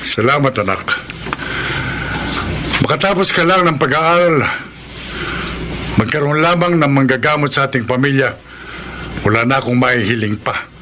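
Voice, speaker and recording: A man's voice: medium pitch, normal speed, long pauses, close to an everyday voice. Man acting a proud father, noisy background. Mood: hopeful, expectant. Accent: Filipino. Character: proud, expectant middle-aged father of college-age children. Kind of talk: Filipino radio drama acting. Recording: noisy ambience behind the voice.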